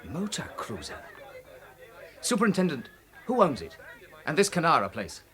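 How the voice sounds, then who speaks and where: An actor playing a brisk, keen police sergeant asking questions in a radio drama. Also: male voice, a little high, huge pitch swings, sharp tone, full character voice. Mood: inquisitive. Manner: keen, inquisitive. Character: eager, brisk male police sergeant on the hunt.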